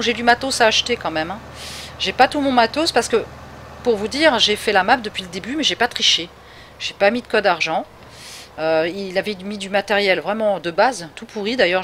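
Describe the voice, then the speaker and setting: A woman's voice: medium pitch, a bit bright, a little hiss or hum. A woman from Paris with a Parisian French accent, chatting casually through a live game stream and answering viewers. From Paris, France. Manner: chatty, answers chat viewers, laughs often. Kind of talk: casual live gameplay commentary.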